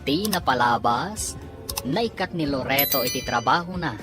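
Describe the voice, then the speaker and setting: Radio serial narrator: low-voiced Ilocano woman, dramatic scripted delivery with big swings in pitch. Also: expressive, normal speed. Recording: music under voice. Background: dramatic music.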